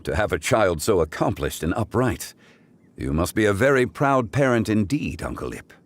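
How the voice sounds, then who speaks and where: An actor playing a composed, erudite, courteous gentleman in a video game, speaking in formal, measured, eloquent phrases. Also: on the low side, very animated. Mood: admiring, thoughtful. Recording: music behind the voice.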